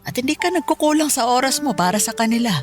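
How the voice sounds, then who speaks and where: A woman playing a kindly older grandmother in a radio drama, speaking gently and with approval. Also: high-pitched, animated, fast talker, soft background music. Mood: fond, admiring.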